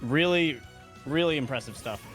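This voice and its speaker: A man co-commenting a livestreamed speedrun event, loud and joking. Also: on the high side, moderate pace, faint music behind.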